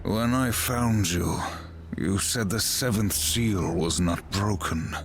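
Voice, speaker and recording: A man's voice: sits low, slow, noisy background. An actor playing a stern, vengeful horseman in a video game, terse and commanding. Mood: stern, accusing. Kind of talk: scripted video game hero dialogue.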